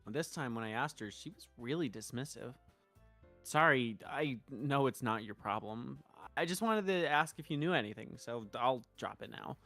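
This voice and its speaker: A woman's voice: very deep voice, moderate pace. A woman streaming a game, switching between casual, sweary chat and read-aloud lines, with music behind her. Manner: sweary, expressive.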